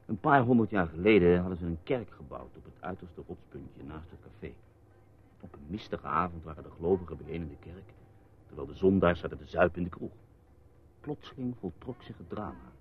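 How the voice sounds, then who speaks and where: A man's voice: low-pitched, lively intonation, fairly quick, slight background noise. A man acting in a radio drama as a reporter husband, evasive and teasing, with a wry, placating manner. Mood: teasing, storytelling. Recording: dull, muffled radio play recording.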